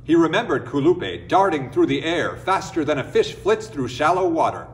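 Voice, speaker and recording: Animated high-pitched male storyteller. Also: normal speed, far from the mic. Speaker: storyteller, reader. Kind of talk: expressive scripted folktale narration.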